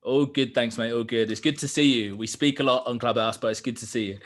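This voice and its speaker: A man's voice: mid-range voice, fairly even intonation, fairly quick. A man in his thirties from East London with an East London accent, talking openly and reflectively as a podcast guest, with plenty of ums. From East London, England. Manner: open, reflective, lots of ums. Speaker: podcast guest, digital consultant. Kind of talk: casual interview chat.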